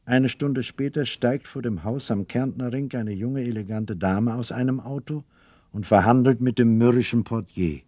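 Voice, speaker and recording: A man narrating a radio play as a scripted story, with measured, literary delivery. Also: medium pitch, warm tone, no echo.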